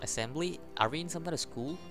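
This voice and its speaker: A man's voice: a little high, fast. A man giving casual playthrough commentary, reading game text aloud and tossing in jokey side remarks. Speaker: gaming video host. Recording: faint music under the voice. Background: video game music.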